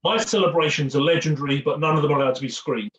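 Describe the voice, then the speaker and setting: A man's voice: a little high, fairly even intonation, racing, fairly bright tone. A man hosting an informal fan Q&A, chatty and jokey with rambling, repeated phrases, on a muffled, echoey, distant recording. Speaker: host of a fan Q&A.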